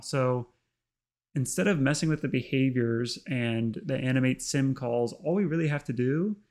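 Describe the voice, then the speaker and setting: Slightly hoarse male host, gravelly, mid pitch, chatty tutorial read with lots of ums. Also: darkish tone. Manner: chatty, full of ums and uhs. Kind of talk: casual screen-recorded tutorial narration.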